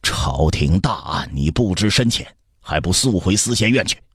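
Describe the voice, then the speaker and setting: Commanding emperor played by a man: low, rough-edged, curt, scolding, historical audiobook. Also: lively intonation, normal speed, fairly bright tone, dry recording, studio quality, heavily acted. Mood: stern, displeased. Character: stern, imperious adult Han emperor, quick to anger.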